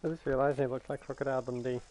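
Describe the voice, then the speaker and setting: A man's voice: mid-range voice, level tone, very fast, darkish tone, no room sound, rough recording. A young man hosting a live game stream, chatting casually and speaking straight to his viewers. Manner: laid-back, talks straight to his viewers. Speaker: streamer host.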